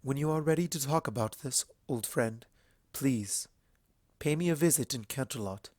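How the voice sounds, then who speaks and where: A man voicing a regal, earnest mare princess in a fantasy story reading, with solemn, emphatic and measured speech. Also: medium pitch, darkish tone, no echo, clean studio sound, natural voice. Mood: gentle, explaining.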